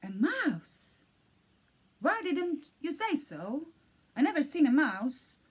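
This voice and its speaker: A woman's voice: high voice, very animated, slow pace, pitched above the performer's own voice, full character voice. A woman voicing a wealthy elephant who lives in a mansion and gives orders to his butler, in a storybook reading. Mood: hushed alarm. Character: rich elephant master of a mansion, easily scared.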